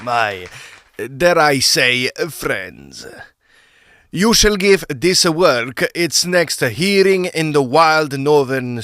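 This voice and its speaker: A man playing a proud, indignant opera composer in an audio drama, speaking in a grand, declamatory and deliberate manner. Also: on the high side, a bit bright, no echo, clean studio sound, exaggerated voice. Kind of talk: theatrical audio drama acting.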